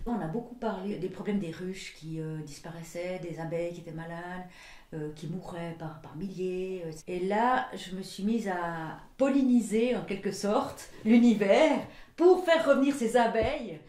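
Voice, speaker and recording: A woman's voice: fairly low pitch, moderate pace. Reflective woman in her sixties, echoey room. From Belgium. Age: 60s. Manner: thoughtful, searching for words, often trailing off softly. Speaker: visual artist and printmaker. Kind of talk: reflective documentary portrait interview.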